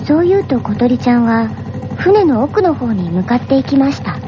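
A woman's voice: a little high, sing-song, moderate pace, noisy background. A woman playing a cheerful, polite schoolgirl in a radio drama. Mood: gentle narrating. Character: cheerful girl, high schooler mistaken for middle schooler. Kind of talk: radio drama acting with narration. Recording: dull radio recording under loud music.

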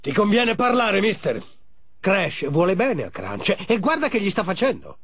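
Stern, widely swooping mask spirit. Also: male voice, high-pitched, sing-song, moderate pace, fairly bright tone, exaggerated voice. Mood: suspicious, scolding. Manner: indignant, commanding, scolding. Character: stern, protective male wooden mask spirit mentor. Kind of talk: animated game cutscene dub.

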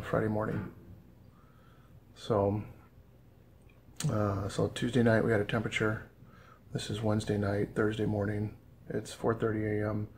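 A man in his fifties with a gravelly, creaky voice, stuffed up and a bit rough. He talks in a low-key, halting way, with lots of "uh"s. He films himself on a phone held at arm's length in his living room. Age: 50s. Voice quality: a little rough. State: congested, stuffed up. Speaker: vlogger. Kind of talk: casual home video diary. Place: living room at home by a fireplace.

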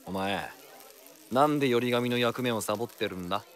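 An actor playing a blunt, bossy but protective older-brother comrade in a radio drama. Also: male voice, medium pitch, fairly quick, a bit bright, natural voice. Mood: nagging, probing. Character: gruff, protective senior male comrade, bossy mentor. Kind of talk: anime-style radio drama acting.

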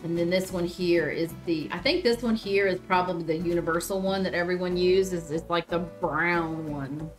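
A woman in her thirties with a Southern US accent, chatting casually on a gaming stream, reading chat aloud and often pausing with ums. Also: lowish voice, soft background music. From Southern United States. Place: small room indoors. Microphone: headset.

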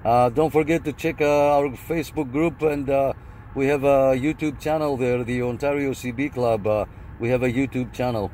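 A man's voice: mid-range voice, fairly even intonation, normal speed. Man on cb, noisy car. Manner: repeats phrases, courteous. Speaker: CB radio operator. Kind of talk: casual CB radio calls. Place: inside a vehicle. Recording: noisy vehicle cabin, radio static behind.

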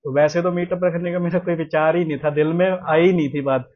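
A man's voice: on the high side, fairly even intonation, brisk. Chatty young man, thin phone-like sound. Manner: chatty, repeats himself, sprinkles English words. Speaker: vlogger host. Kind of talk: casual chat to viewers. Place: small room at home, indoors.

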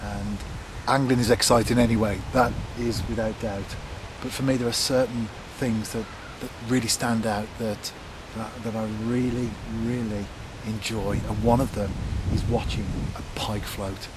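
A middle-aged man talks casually to camera at a lakeside, explaining things in a measured, unhurried way as an angler. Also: on the low side, fairly even intonation. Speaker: angling vlogger. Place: outdoors at a lakeside. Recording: outdoor camera recording with wind noise.

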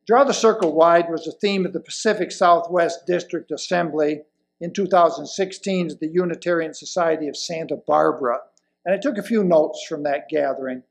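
An elderly man reading a sermon from a lectern, with deliberate, emphatic phrasing and pauses. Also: a little high, vocal fry. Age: older, around 70s or 80s. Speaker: lay presenter reading a sermon. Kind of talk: sermon read from a pulpit. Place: indoors at a wooden lectern in a church hall. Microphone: lapel mic.

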